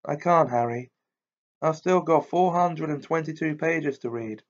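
Brief: fretful teenage schoolgirl as a male narrator puts her on, high and tense, muffled audio. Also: normal speed, creaky. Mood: strained. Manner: high-pitched, strained, slightly hysterical. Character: studious anxious teenage girl. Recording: muffled lo-fi recording.

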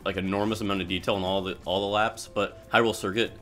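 A man casually chatting while playing a racing video game, talking fast and enthusiastically with many restarts. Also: lowish voice, level tone, fairly bright tone. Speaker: gaming channel host. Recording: game music behind.

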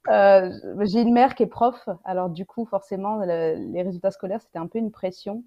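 A woman's voice: medium pitch, normal speed. A young woman chatting casually about herself over a lo-fi video call. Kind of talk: casual chat. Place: room at home, indoors. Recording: dull lo-fi video call audio.

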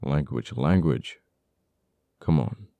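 Casting: male actor for cold, uptight official in ASMR roleplay, extremely low gravelly voice, slow and halting, bitter over a lost friend. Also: expressive, dark tone, dry recording, exaggerated voice. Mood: bitter, teasing. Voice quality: very deep, gravelly. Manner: halting, teasing, dramatic pauses and repeated words.

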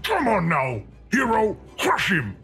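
A man's voice: high-pitched, huge pitch swings, slow pace, pushed and bright, faint music behind, full character voice. A man voicing an impatient, exasperated, bossy spirit guide in a video game, dramatic and sardonic. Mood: urgent, bossy. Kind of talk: video game character acting.

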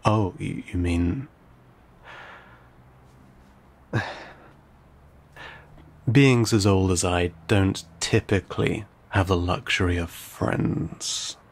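A man playing an ancient, courteous, guarded vampire in an intimate audio roleplay, speaking in formal, old-fashioned, measured phrases with some hesitation. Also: full character voice. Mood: wistful. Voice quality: low, gravelly, breathy.

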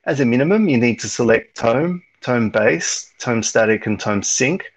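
A man's voice: mid-range voice, expressive, moderate pace, rough recording. A man presenting at an online meetup over slides, talking casually with frequent ums and restarts. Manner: rambling, lots of ums and restarts.

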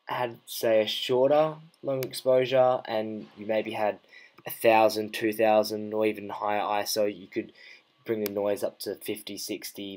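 Man, low pitch, casual unscripted tutorial voice-over, thinking aloud. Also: a little mellow, low-quality recording. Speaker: photo editing tutorial presenter. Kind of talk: unscripted screen-recorded tutorial narration.

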